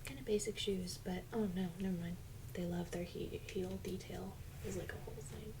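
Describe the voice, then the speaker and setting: A young adult woman speaking in a soft, breathy, often near-whispered voice, chatting casually and slangily as an ASMR host. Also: mid-range voice, steady tone, fairly quick. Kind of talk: soft-spoken ASMR doll unboxing, casual chat. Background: loud noise, clicks and crinkles of unboxing.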